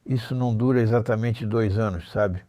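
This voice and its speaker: Older man, low even voice, blunt storyteller. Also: normal speed, dry recording. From Normandy, France. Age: 70s. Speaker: interview guest, former armed robber. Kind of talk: conversational life-story recounting.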